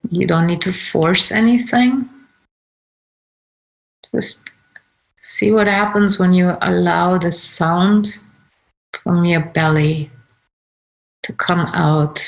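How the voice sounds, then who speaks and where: A woman leading a guided meditation, giving gentle instructions in short phrases with pauses. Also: on the low side, fairly even intonation, vocal fry, poor audio. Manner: unhurried, pausing between short phrases.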